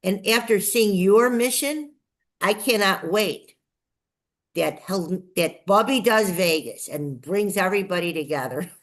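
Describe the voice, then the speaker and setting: A woman's voice: mid-range voice, lively intonation, measured pace. An older woman with a Chicago accent telling her story as an interview guest, effusive and emphatic, repeating phrases for effect. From Chicago, United States. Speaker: guest, nonprofit TV promoter. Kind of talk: enthusiastic personal storytelling in an interview.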